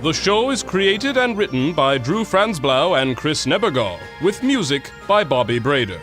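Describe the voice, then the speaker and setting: A man's voice: on the high side, sing-song, normal speed, a bit bright. A man announcing in the scripted style of an old-time radio adventure serial. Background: theme music.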